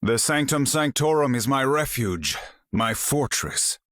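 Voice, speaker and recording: A proud wizard hero for a video game, super theatrical n formal, voice swings up and down a lot, dry studio sound. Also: male voice, mid-range voice, lively intonation, measured pace, fairly bright tone, no echo. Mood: proud, solemn. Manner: grandiose, formal, incantatory delivery. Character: proud, battle-ready sorcerer supreme, grandly theatrical hero. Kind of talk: video game character voice lines.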